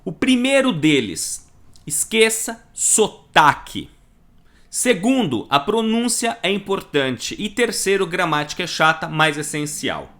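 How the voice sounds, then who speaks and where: A man with a Brazilian Portuguese accent gives a live online lesson, talking with animated emphasis and putting rhetorical questions to the viewer. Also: high-pitched, slow pace. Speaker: online Italian course teacher.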